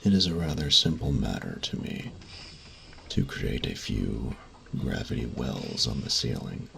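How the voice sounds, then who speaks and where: Male actor as fussy grumpy artificer, audio roleplay, heavy vocal fry, swooping intonation, formal, precise, faint background noise. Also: extremely low pitch, big pitch swings, a bit bright, heavily acted. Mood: lecturing. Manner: formal, precise, pedantic, halting delivery. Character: grumpy, fastidious male artificer-mage in a steampunk world. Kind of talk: scripted roleplay audio drama, addressing the listener.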